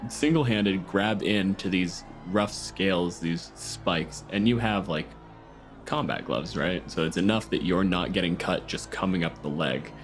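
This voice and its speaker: Male game master, lower register, narrates and referees tabletop play over clear background music. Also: unhurried. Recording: music playing under the voice.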